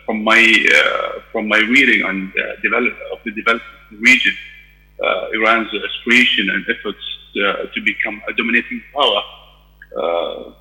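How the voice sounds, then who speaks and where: A man giving a conference panel speech, measured and hesitant, with frequent fillers. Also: fairly low pitch, sharp tone, low-quality recording. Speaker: political panel speaker.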